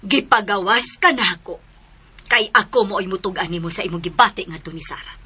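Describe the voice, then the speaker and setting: A woman's voice: mid-range voice, fairly quick, sharp tone, husky. An actress playing a stern, shrewd mother of grown sons in a radio drama, scolding and commanding. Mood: stern, accusing.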